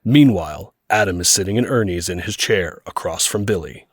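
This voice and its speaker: A man's voice: deep voice, lively intonation, brisk, slightly rough, no echo, clean studio sound. A man reading scripted narration as the narrator of an audio drama.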